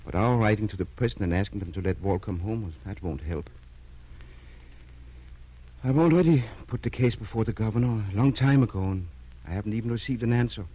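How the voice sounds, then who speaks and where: An actor playing a kindly, determined older country doctor in an old-time radio drama, measured and earnest. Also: male voice, lowish voice, breathy edge. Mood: grave. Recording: dull vintage radio recording.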